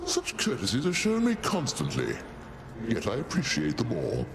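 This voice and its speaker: An actor playing a boastful, jovial older male god in a video game, pompous, booming and theatrical. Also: wildly swooping pitch, normal speed, gravelly. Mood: pompous, amused. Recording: roomy echo, loud background noise.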